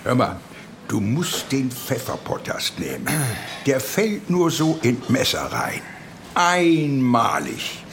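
Jovial older fixer uncle, unhurried, lively pitch swings, folksy, noisy surroundings. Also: male voice, full character voice. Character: jovial, wily older uncle with political connections. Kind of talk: radio drama acting.

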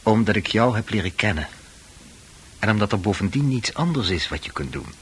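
A man playing a calm, persuasive psychiatrist with reassuring authority in a radio drama. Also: lowish voice, brisk, husky. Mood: reassuring, firm. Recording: hissy old radio drama recording.

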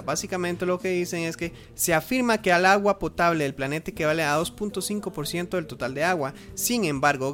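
Casting: Costa Rican man, lightly high voice, informal halting radio host over faint music. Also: a bit bright. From Costa Rica. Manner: halting, repeats words while searching.

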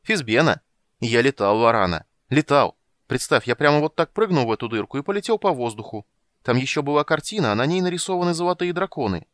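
A man voicing a small, boastful, excitable kender who chatters with excitement. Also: mid-range voice, fast talker, dry recording, clean studio sound, voice pushed up, lightly acted. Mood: excited.

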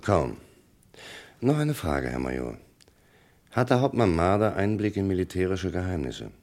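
A man's voice: low-pitched, big pitch swings, moderate pace, darkish tone. A man playing a sober, persistent police inspector in a vintage radio crime drama, probing and matter-of-fact.

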